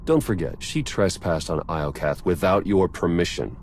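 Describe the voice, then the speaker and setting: An actor playing a wry, outspoken ally of a commander in a video game, casual and blunt. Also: male voice, deep voice, expressive, normal speed, a little hiss or hum. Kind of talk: cinematic video game acting.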